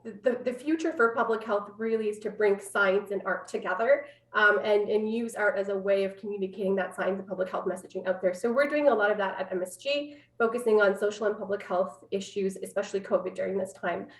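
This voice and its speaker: A woman's voice: medium pitch, brisk, few pauses. A woman in her thirties with a Canadian accent, explaining her work conversationally as an interview guest over a video call from home. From Canada, born in Afghanistan. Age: 30s. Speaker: interview guest, public health scientist. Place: room at home. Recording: roomy, distant video-call microphone.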